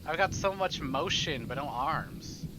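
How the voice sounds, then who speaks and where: A man's voice: a little high, lively intonation, a little slow, background noise. A man hosting a casual livestream, chatting to viewers in a playful, rambling, exclamatory way and often repeating himself. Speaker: livestream host, gamer.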